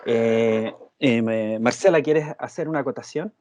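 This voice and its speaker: A man moderates a panel discussion in a voice of middling pitch. He speaks hesitantly and leans on fillers. He trails off softly before passing the floor on. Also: measured pace.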